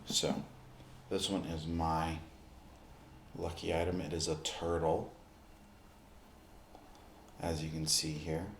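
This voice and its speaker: A man speaking in an ASMR roleplay, addressing the listener directly in short, unhurried phrases with pauses. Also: a bass voice, flat delivery, reverberant, a little hiss or hum. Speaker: ASMR performer playing a basketball recovery expert.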